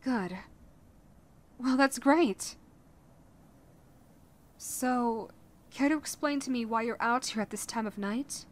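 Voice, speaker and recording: A woman's voice: on the high side, takes it slowly, long pauses. A woman voicing a caring, teasing, motherly vampire woman in a soothing roleplay audio, coaxing and speaking directly to the listener. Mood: gentle, coaxing. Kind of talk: roleplay audio acting, ASMR-like.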